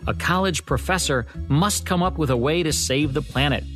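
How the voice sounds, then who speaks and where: A man's voice: a little high, normal speed, fairly bright tone. A man hosting a radio show, reading a scripted introduction. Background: instrumental music.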